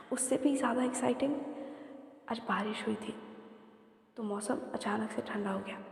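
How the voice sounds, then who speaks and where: A woman voicing a cheerful, curious young woman in an ASMR roleplay. She speaks softly and gently, mixing in English words. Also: mid-range voice, creaky. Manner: soft-spoken, gentle, mixes in English. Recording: roomy echo with long reverb.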